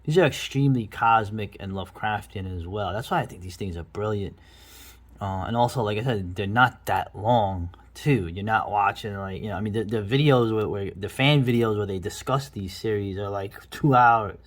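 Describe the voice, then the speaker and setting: A man's voice: on the low side, normal speed. A middle-aged man giving casual, rambling, enthusiastic commentary as the host of a video review.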